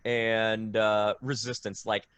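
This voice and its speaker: A man's voice: on the low side, flat delivery, takes it slowly, fairly bright tone, smooth, dry recording. An enthusiastic guy rambling through questions on a gaming fan's podcast, recorded close and dry. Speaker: interviewer, video game fan. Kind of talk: casual fan interview chat.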